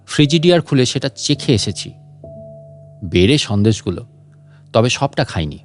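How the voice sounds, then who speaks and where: A man's voice: medium pitch, natural voice. A man voicing a bossy, irritable burglar in a comic audio story, stern and scolding, full of boasts and threats. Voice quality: stern voice, hacking cough. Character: boastful, irritable male burglar mistaken for a ghost.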